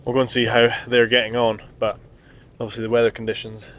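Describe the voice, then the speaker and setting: A man's voice: medium pitch, monotone, quick pace, fairly bright tone. A Scottish man in his twenties, a business owner, chatting candidly to camera outdoors at a snowy job site while bunged up with a cold. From Scotland. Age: 20s. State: congested with a cold. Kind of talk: casual vlog narration and chat.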